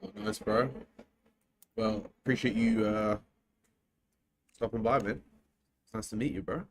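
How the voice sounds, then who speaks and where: A man's voice: fairly low pitch, steady tone, measured pace, a little hiss or hum. A young man from New Zealand with a New Zealand accent, chatting casually to viewers while reacting live to a cricket match. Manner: laid-back, chatty, restarts often. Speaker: live stream host.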